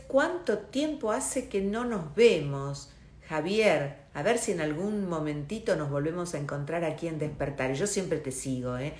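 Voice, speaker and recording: A woman's voice: low voice, echoey room. A woman hosting a live online interview, chatting and greeting viewers by name before bringing on her guest. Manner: chatty, warm greetings, quick asides. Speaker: host. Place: home in Miami.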